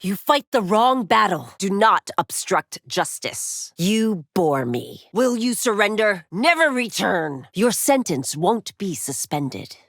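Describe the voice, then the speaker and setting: Stern proud lawwoman fighter for a video game, low voice, really expressive pitch, clipped n formal, super dry recording. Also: slow, hardly any pauses, dry recording, heavily acted. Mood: stern, commanding. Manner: authoritative, formal, clipped declarations. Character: stern, dutiful woman constable, proud veteran warrior. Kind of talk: video game fighter voice lines, dramatic acting.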